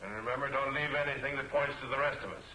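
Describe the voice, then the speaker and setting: An actor playing a businesslike, menacing gang messenger in an old 1940s film serial. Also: male voice, mid-range voice, fairly even intonation, fast talker, bright, forceful tone. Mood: cold, commanding. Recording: echoey, hissy old film soundtrack.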